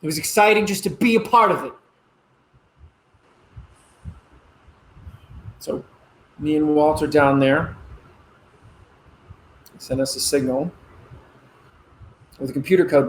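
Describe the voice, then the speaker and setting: An actor playing a proud young missile officer in a stage play, explaining earnestly with dramatic pauses. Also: male voice, medium pitch, a little hiss or hum, close to an everyday voice, rough recording. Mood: awed, excited. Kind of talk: conversational stage-play dialogue.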